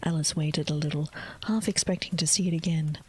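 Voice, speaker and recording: A woman reading a children's classic aloud in a soft, gentle whisper, as in ASMR storytelling. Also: deep voice, steady tone, fairly quick, slightly rough, rough recording.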